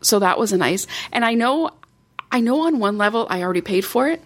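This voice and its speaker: A woman talking as a guest in a casual podcast chat, telling her story in a chatty, lively way. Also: fairly high pitch, fairly quick. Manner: chatty, animated storytelling with laughs.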